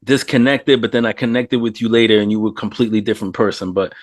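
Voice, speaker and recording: A man's voice: fairly low pitch, fairly even intonation, fast, no room sound. A man from New York City hosting a casual interview, talking loosely, swearing and laughing often. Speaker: host.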